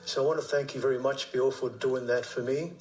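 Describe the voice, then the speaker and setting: A man's voice: mid-range voice, fairly even intonation, fairly quick, a little mellow. A man with an Australian accent, a musician giving a casual recorded thank-you message.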